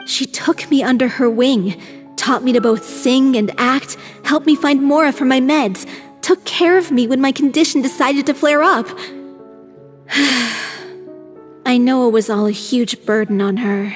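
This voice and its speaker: An earnest young troupe singer who lives with a lasting illness, speaking a little high. Her voice carries a long reverb, with faint music under it. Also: a little slow. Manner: earnest, scolding when worried. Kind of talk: game cutscene dialogue.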